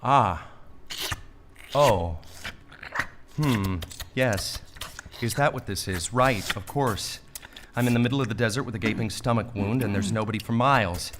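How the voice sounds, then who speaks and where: A man's voice: fairly low pitch, measured pace, long pauses, noisy background, lightly acted. An actor playing a melodramatic, dying man of faith in a comic audio play, given to exclamations and big emotional swings. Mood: resigned. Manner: melodramatic, exclamatory, wailing and gushing by turns. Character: dying man of faith in desert, theatrical, swings panic to wonder.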